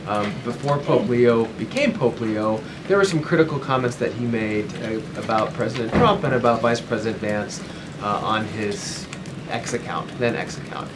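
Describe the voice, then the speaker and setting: Male reporter over clear room noise. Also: medium pitch, moderate pace. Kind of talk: asking a question at a press briefing.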